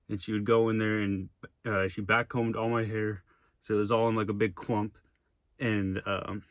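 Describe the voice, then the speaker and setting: Low, flat-voiced young man rambling casually. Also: no room sound, clean studio sound. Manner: rambling, with many fillers and tangents. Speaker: vlogger. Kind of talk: casual vlog monologue.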